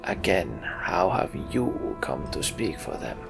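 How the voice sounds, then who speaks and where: A man voicing a gruff, proud and weary old human king in a storybook fantasy game. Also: low voice, big pitch swings, slow pace, music in the background, voice pushed down, full character voice. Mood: cold, contemptuous.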